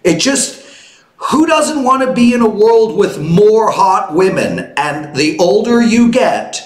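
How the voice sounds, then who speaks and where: A man's voice: high-pitched, takes it slowly. Joking fifty-year-old man in an echoey room. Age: 50s. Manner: joking, teasing, animated. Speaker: host. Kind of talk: casual sports betting chat. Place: home studio. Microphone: headphones and boom mic.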